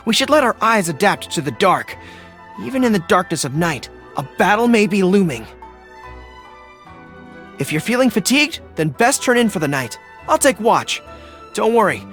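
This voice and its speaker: A man voicing an earnest, dutiful young dog-eared general in a video game, with crisp military diction. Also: high voice, fairly bright tone. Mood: commanding, then friendly. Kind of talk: video game character voice acting.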